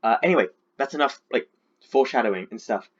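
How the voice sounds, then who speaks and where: A man's voice: medium pitch, animated, quick pace, breathy edge, highs cut off. A man with a sore throat from being sick, chatting casually and rambling with lots of fillers as he breaks down his team. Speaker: competitive Pokemon league coach.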